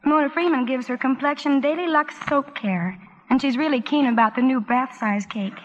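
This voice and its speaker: A woman's voice: sits high, normal speed. A woman in a scripted 1940s radio advertisement, speaking in a gushing, admiring way. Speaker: guest in a sponsor's advertising segment. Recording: muffled, faintly noisy vintage radio broadcast.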